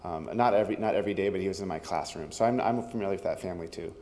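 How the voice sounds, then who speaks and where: A man speaking loudly and rambling at public comment in a city meeting, as a teacher and parent. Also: sits low, very fast, a little hiss or hum. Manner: loud, rambling, with restarts. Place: community hall meeting room.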